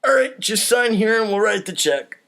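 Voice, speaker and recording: Guy doing a pushy excitable banker for a comedy read, voice pitched way up, bigoted and theatrical. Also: normal speed, gravelly, exaggerated voice. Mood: falsely cheerful. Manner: theatrical, ranting salesman delivery. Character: bigoted, excitable male banker, falsely cheerful.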